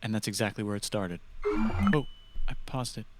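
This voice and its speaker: A man narrating a comic video in short, abrupt, deadpan quips. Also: lowish voice, moderate pace. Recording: narration over loud music.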